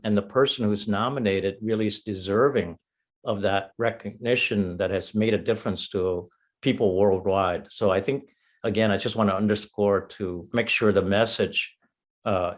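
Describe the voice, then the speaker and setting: A man from Vietnam speaks in a fairly low voice as he presents at an online seminar. He explains things in a measured way and puts weight on his key points, like a council member addressing colleagues. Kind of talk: prepared webinar presentation.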